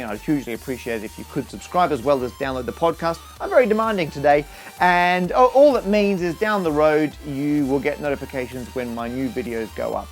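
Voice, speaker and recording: An enthusiastic man presents maths to his viewers in a fairly high male voice. He is emphatic and animated, slipping in wry asides as he explains. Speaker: maths video host.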